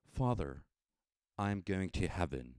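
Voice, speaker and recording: A man's voice: deep voice, very animated, measured pace, darkish tone, no room sound, studio quality. A man voicing earnest children bidding farewell in a storybook, speaking in plain declarations.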